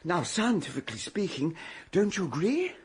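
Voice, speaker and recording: An actor playing an earnest, brisk and insistent British gentleman amateur detective in a period radio drama. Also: high-pitched, big pitch swings, slightly rough, heavily acted. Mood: earnest, pleased.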